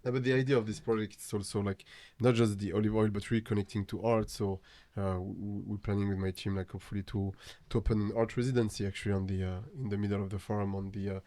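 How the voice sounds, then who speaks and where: Soft-spoken Tunisian man with a French accent. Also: lowish voice, level tone, no room sound. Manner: halting, many restarts and "you know". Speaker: guest artist. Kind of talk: casual podcast conversation.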